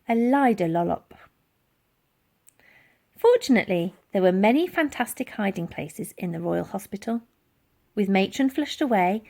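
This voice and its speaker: A woman's voice: medium pitch, vocal fry. A woman reading a children's book aloud as dramatic, theatrical narration. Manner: expressive, theatrical. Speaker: storybook reader.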